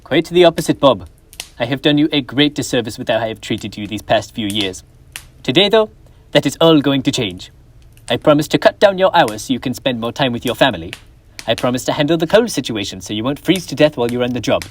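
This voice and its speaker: A man's voice: mid-range voice, expressive, brisk, lightly acted. Teen guy playing a reformed old miser, earnest and formal, voice swings up and down a lot. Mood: kind. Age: teens. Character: reformed elderly miser, now generous and kindly. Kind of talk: school stage play acting.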